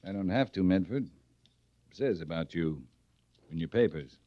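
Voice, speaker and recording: Low, dry, laconic cavalry captain. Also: male voice, animated, moderate pace, warm tone, no echo. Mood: stern, flat. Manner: terse, dry, authoritative. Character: stern, laconic, unflappable male cavalry captain. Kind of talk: old-time radio western acting. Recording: dull vintage radio recording.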